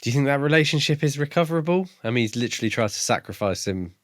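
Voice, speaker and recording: A man co-hosting a podcast, talking casually about books in a measured, slightly hesitant way. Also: mid-range voice, animated, dry recording, clean studio sound. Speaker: podcast co-host. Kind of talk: casual book discussion.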